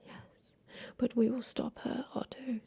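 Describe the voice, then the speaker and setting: A woman playing a tender, ailing inventor of a mechanical creature in a soft, whispered ASMR roleplay. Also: on the high side, little pitch movement, gravelly, slightly rough, heavily acted. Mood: grave, reassuring. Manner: slow, gentle, soothing, with pauses.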